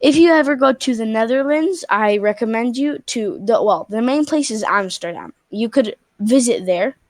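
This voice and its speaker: A woman's voice: a little high, expressive, moderate pace, a little mellow, dry recording. Chatty young girl on a video call. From Spain. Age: young, about 12. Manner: chatty, full of "like" and restarts. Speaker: stranger on a video chat. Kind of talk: casual video chat.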